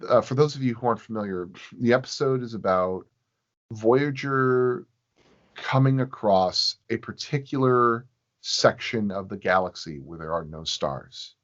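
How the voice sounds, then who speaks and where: Slow-talking, low-pitched man, halting. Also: a bit bright. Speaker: podcast guest, sound designer. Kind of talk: casual interview chat. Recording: dull, lo-fi voice recording.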